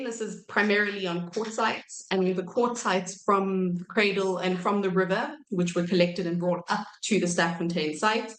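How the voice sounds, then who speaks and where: A woman in her thirties giving an academic lecture while croaky from a cold, pausing often with ums and correcting herself. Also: lowish voice, echoey room, poor audio. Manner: hesitant, many ums and self-corrections. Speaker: archaeology researcher giving a talk.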